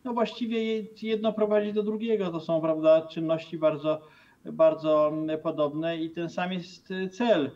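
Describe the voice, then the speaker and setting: A man's voice: a little high, steady tone, a little slow, a little mellow. A middle-aged man commenting as a guest over a video call from a room with bookshelves, hesitant, with many fillers and restarts. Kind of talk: remote TV interview commentary. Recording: distant, dull video-call sound.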